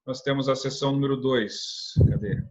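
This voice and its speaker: A man's voice: medium pitch, monotone, normal speed, lo-fi sound. A man from teaching a church lesson, earnest, asking rhetorical questions and often adding a tag question. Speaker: religious instructor.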